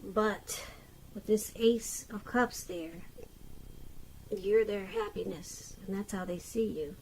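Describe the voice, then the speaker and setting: A woman giving an unscripted tarot reading to the viewer, halting as she goes, pausing mid-sentence and repeating phrases. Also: medium pitch, lively intonation, vocal fry, slight background noise, poor audio. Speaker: tarot reader.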